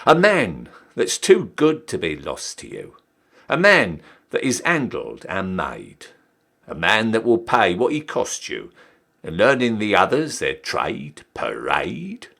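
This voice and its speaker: Man acting a cheeky old-style British soldier, wildly animated. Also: lowish voice, takes it slowly, gravelly, voice pushed down, exaggerated voice. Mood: proud, indignant. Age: young adult. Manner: theatrical, emphatic, swooping delivery. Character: cheeky down-at-heel ex-soldier re-enlisting, Victorian British army ranker. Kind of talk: dramatic poetry recital.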